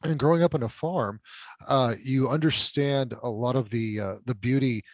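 A man's voice: mid-range voice, moderate pace, dry recording. A middle-aged man whose first language is Spanish, telling his life story as a podcast guest in a reflective, self-deprecating way. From California border town, Mexican side. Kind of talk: conversational storytelling interview.

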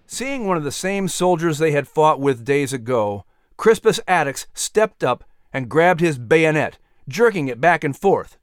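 A man's voice: a little high, very animated, unhurried, clear, resonant tone, no echo, clean studio sound. A man narrating an audiobook story in a dramatic, scripted style.

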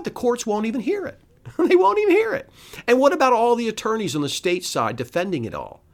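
A middle-aged man hosting a podcast, delivering a solo commentary full of sarcastic rhetorical questions and scoffing laughs. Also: high-pitched. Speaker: podcast host. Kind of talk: solo political commentary monologue.

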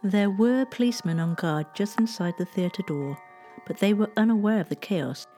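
A woman's voice: mid-range voice, normal speed, mellow, faint music behind. A woman reading scripted historical narration as a storyteller.

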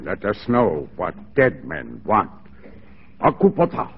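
Slow, solemn, imposing war chief. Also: male voice, sits low, sing-song, exaggerated voice. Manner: slow, ponderous, solemn pronouncements. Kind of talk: 1940s radio drama acting. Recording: dull, hissy vintage radio, long reverb.

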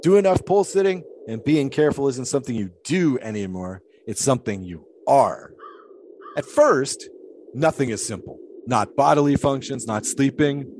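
An actor playing an eccentric, pious 1920s flagpole sitter in an audio drama, talking in a folksy, loquacious, philosophizing way with period idiom. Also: male voice, mid-range voice, lively intonation, moderate pace, a little mellow, a little hiss or hum, close to an everyday voice. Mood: earnest, instructive.

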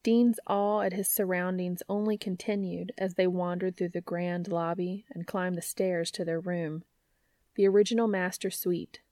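A woman's voice: fairly low pitch, dark tone, no room sound, studio quality. A woman reading scripted fiction aloud as a story narrator.

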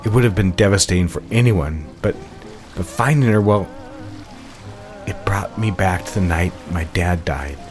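A devoted, guarded husband from a romantic period radio play, played by an actor. His man's voice is very low and formally courteous, with measured endearments over clearly audible music. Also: close to an everyday voice. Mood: troubled, confessing. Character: devoted, guarded new husband with troubled past. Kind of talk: audio drama dialogue, period romance.

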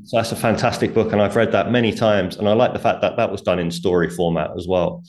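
A man's voice: fairly low pitch, fairly even intonation, quick pace, darkish tone. A man, a guest author, explaining money habits earnestly in a conversational interview, with light laughs.

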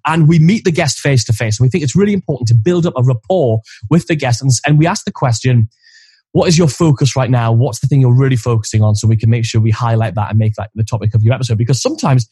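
A man co-hosting a podcast with a loud voice, chatting casually and explaining gear step by step, recorded on a studio mic in an office corner. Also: medium pitch, quick pace, breathy edge, no echo. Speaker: podcast co-host. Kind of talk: casual chat. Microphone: studio condenser mic on boom arm.

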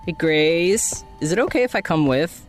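A woman's voice: on the low side, lively intonation, moderate pace, soft background music, lightly acted. A woman playing an eager, nervous, starstruck young interviewer with a casual manner in an audio drama.

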